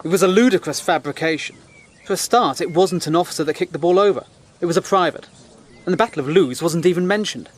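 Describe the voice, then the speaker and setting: A man plays a British newspaperman of the Great War in an audio drama. His voice sits fairly high for a man, and he speaks with earnest, articulate scepticism and a dry, challenging edge. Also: quick pace, natural voice. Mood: indignant, sceptical. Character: principled, sceptical British First World War correspondent. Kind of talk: period audio drama acting.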